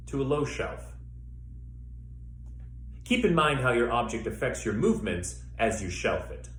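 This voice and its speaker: A man working as a theater educator, guiding listeners through an exercise with measured, step-by-step instructions and pauses. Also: on the low side, a bit bright. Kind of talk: instructional demonstration, guided exercise. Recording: echoey room with faint background noise.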